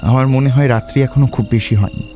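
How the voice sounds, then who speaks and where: An actor playing a resolute, quick-witted schoolboy adventurer in an audio drama, speaking in a decisive, earnest way. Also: male voice, medium pitch, steady tone, music in the background, lightly acted. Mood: explaining. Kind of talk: Bengali audio drama acting.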